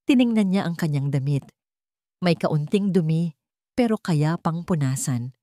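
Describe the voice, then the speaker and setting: A woman's voice: deep voice, expressive, measured pace, dark tone, dry recording, clean studio sound. A woman reading a scripted story as a dramatic narrator.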